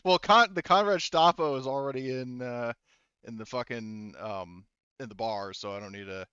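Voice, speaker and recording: Bright-voiced man running a tabletop game. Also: medium pitch, normal speed, clear, resonant tone, no room sound, lo-fi sound. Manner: informal, profane. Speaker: game master. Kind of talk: casual tabletop game narration.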